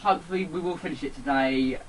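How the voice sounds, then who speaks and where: Slightly high-voiced male gaming co-host. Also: fast, a little hiss or hum, low-quality recording. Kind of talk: casual Let's Play chat.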